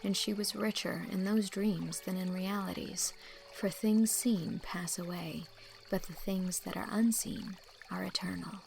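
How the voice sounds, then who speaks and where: A woman's voice: medium pitch, fairly even intonation, unhurried, creaky, darkish tone, slight background noise, rough recording. A woman reading scripted material as an audiobook narrator, in a literary storytelling style.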